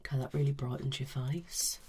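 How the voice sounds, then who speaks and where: A woman giving a soft-spoken ASMR consultation in an unhurried murmur that slips in and out of a whisper. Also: very deep voice, noisy background. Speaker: colour analysis consultant.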